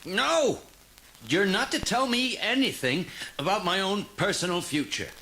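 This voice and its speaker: A man playing an excitable, eccentric older scientist in a dramatized storybook read-along, speaking in urgent, emphatic exclamations. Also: high-pitched, measured pace, slight background noise, exaggerated voice. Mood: agitated. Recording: loud music behind the voice.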